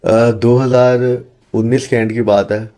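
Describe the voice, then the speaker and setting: A man's voice: mid-range voice, little pitch movement, measured pace, warm tone. A man from Pakistan, an accounting teacher, answering interview questions in an earnest, explanatory way and mixing in English phrases.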